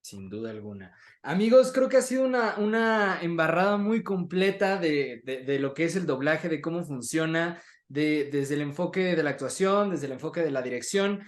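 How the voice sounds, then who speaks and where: A man's voice: on the high side, lively intonation, moderate pace, few pauses. A Mexican man hosting a casual conversational interview in an informal, chatty way. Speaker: interview host.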